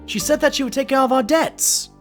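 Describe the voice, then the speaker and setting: A man playing an earnest, excitable pony sidekick in a cartoon audio drama. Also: very high-pitched, brisk, soft background music. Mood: surprised, flustered. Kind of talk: animated audio drama dialogue and narration.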